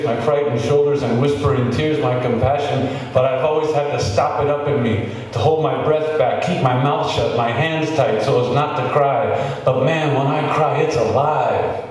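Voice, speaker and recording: A man's voice: medium pitch, darkish tone. Male spoken-word poet, emphatic and repetitive in delivery; distant, noisy recording with heavy echo. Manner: impassioned, emphatic, incantatory repetition. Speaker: poetry reader. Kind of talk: spoken-word poetry performance. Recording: very echoey reverberant hall, distant mic, noisy.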